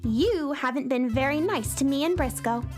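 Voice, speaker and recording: Woman as a perky, high-pitched girl space cowgirl. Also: moderate pace, a little mellow, soft background music, natural voice. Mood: coy, bargaining. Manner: chatty, bubbly, girlish. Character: perky girl space cowgirl who wrangles lost sounds. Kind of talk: children's audio drama acting.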